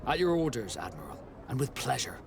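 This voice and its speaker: An actor playing a loyal, determined sea captain in a video game, speaking formally and resolutely. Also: male voice, mid-range voice, sing-song, a little fast, vocal fry, noisy background. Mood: dutiful. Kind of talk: dramatic game dialogue.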